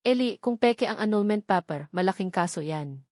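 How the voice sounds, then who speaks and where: A woman's voice: medium pitch, lively intonation, moderate pace, a little mellow, dry recording, studio quality, lightly acted. A woman narrator voicing a serious, seasoned attorney and old friend in an audio storybook. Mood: grave, concerned. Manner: grave, questioning.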